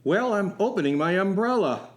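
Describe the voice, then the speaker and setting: A man's voice: high voice, animated, normal speed. A man acting as a young driving instructor and soldier, telling his love story in a wry, folksy way for a radio play. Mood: friendly, joking. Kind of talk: first-person radio storytelling with acted dialogue.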